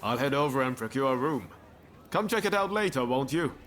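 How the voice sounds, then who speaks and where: An actor playing an easygoing, friendly samurai-era companion in a video game, talking in a casual, chummy way. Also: male voice, medium pitch, expressive, a little fast, fairly bright tone, slight background noise, lightly acted. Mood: friendly, upbeat. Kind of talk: video game cutscene acting.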